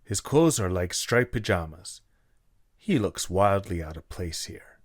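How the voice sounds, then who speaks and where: A man with a low voice narrates a scripted story. He reads as the narrator of an audio drama, and the sound is dry, with hardly any room on it. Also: expressive, a little slow, no echo.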